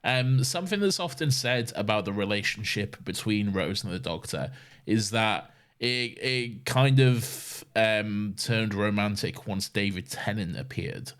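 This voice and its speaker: A man with a fairly low voice, talking on a podcast. He teases his co-host in dry, sarcastic banter and swears casually. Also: measured pace, a bit bright. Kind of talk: casual chat.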